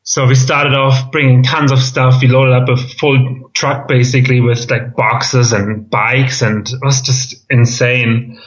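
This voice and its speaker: A man in his thirties with a German accent, chatting as an interview guest. He talks haltingly, with ums and restarts along the way. The sound is dull and lo-fi. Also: medium pitch, level tone. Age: 30s. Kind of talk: casual interview chat.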